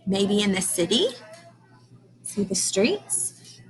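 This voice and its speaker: A woman's voice: medium pitch, big pitch swings, takes it slowly. Woman teaching young children, noisy recording. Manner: prompting with questions, enunciating key sounds and words. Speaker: early-grades teacher. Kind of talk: read-aloud lesson for young children.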